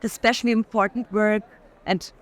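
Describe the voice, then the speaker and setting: A woman in casual, reflective conversation about art, speaking haltingly with plenty of filler "like". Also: mid-range voice, steady tone. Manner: halting, frequent use of "like". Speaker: curator in conversation. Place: art fair. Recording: noisy fair hall ambience.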